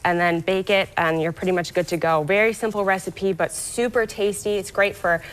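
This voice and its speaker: An eager woman gives health tips on a TV lifestyle segment. She sounds upbeat and explanatory, with a pitch that sits mid-range for a woman. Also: steady tone, normal speed. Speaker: gut health guest. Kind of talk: TV morning show interview. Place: TV studio.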